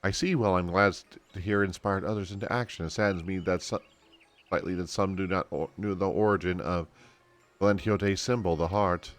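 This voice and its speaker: A man's voice: deep voice, fairly even intonation, fairly quick, faint music behind. A man streaming a game and reading its dialogue aloud in an amateur way.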